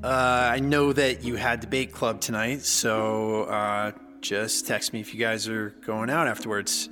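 A man's voice: fairly low pitch, flat delivery, measured pace, music in the background, natural voice. An actor playing a guarded but affectionate young college man in a naturalistic audio drama. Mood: wistful.

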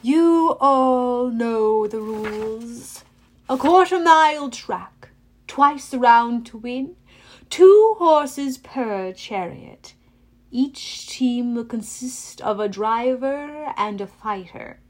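A woman's voice: fairly high pitch, extremely slow. A woman voicing a smug, cruel older man running a camp race, drawling and mock-pleasant. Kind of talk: audiobook character voice.

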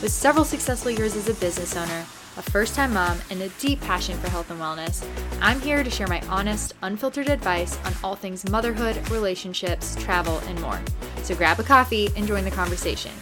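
An upbeat woman hosts a podcast in a casual, gushing way. Her voice sits at a middle pitch, with faint music behind her. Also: animated, fairly quick. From Charlotte, North Carolina. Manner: enthusiastic, gushing. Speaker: podcast host. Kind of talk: casual interview chat.

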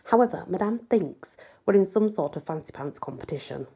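A woman reading a first-person story aloud with expressive, dramatic delivery and a husky, breathy voice. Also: on the low side, fairly quick. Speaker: amateur audiobook reader.

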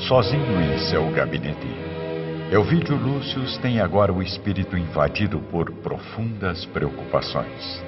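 A man narrating a scripted radio drama in a solemn, measured and expressive way. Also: sits low. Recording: dull, band-limited radio recording, music bed.

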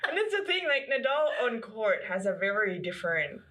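A woman's voice: mid-range voice, sing-song, normal speed, fairly bright tone. A young woman from the Philippines, chatting casually as a podcast co-host, full of fillers, restarts and laughs. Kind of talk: casual chat.

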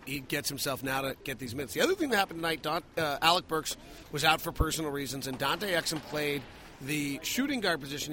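Bright, higher-pitched male sports podcast host. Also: slight background noise. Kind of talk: post-game analysis and commentary.

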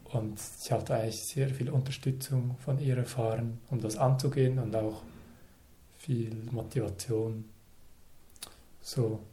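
Brief: man, 30s, interview guest, measured and reflective, phrase by phrase. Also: medium pitch, steady tone, warm tone, slight background noise. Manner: thoughtful, measured, speaks in short phrases with pauses.

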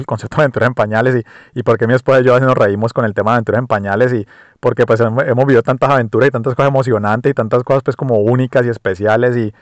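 A man's voice: medium pitch, no room sound, muffled recording. A man with a Colombian accent hosting a podcast, talking casually and reflectively with many fillers and restarts. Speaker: podcast host. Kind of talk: casual personal monologue.

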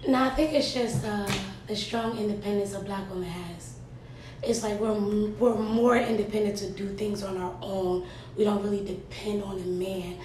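A woman's voice: mid-range voice, fairly even intonation, moderate pace, echoey room, background noise. A woman speaking plainly and conversationally in a casual panel discussion.

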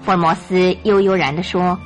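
A woman's voice: lowish voice, steady tone, moderate pace, poor audio. A woman narrating a scripted radio drama as a storyteller. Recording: radio drama with music underneath.

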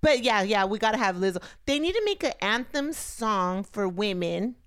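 A woman's voice: medium pitch, very animated, moderate pace, a bit bright, clean tone, dry recording, clean studio sound. A woman co-hosting a podcast in casual chat, outspoken and swearing freely, mixing in some Spanish. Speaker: podcast co-host.

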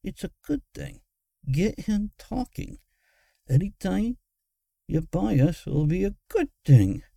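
A sly wizard sidekick, played by a male narrator, muttering and hissing conspiratorially. The voice is pitched high and swings about, close and dry, in fantasy audiobook style. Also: sing-song, slow pace, gravelly, warm tone, dry recording, heavily acted. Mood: sly, reassuring. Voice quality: mumbled, hissed whisper.

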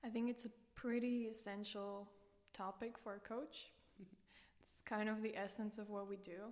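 A woman's voice: mid-range voice, darkish tone. Woman in a reverberant interview. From Romania. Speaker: podcast guest, student coach. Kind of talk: conversational explanation in an interview. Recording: reverberant hall-like room sound.

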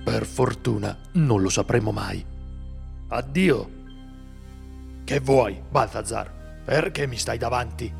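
A man's voice: mid-range voice, slow, vocal fry, long pauses, in a large hall, soft background music. An actor playing a bold male warrior leader in an Italian audio drama, commanding and brash.

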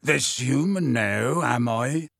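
A man voicing a gruff, stubborn, big-hearted half-giant gamekeeper in an audiobook, with a rough voice and blunt, colloquial speech. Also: a little high, very animated, a little slow, fairly bright tone, no echo, clean studio sound, heavily acted. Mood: wary, testy. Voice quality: rough, gravelly.

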